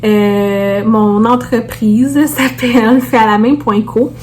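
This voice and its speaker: A woman's voice: on the high side, steady tone, slow pace, darkish tone, slight background noise. A woman with a Québécois French accent chatting casually to viewers as a vlogger, informally peppering her talk with English words.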